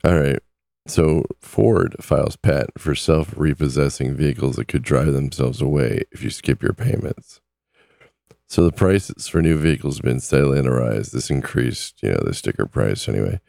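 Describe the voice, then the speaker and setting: A man's voice: rumbling low, gravelly, mellow, no echo, studio quality. A man who is losing his voice, hosting a casual talk podcast in a chatty way. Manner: chatty, repeats numbers slowly. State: losing his voice, sore throat. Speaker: podcast host.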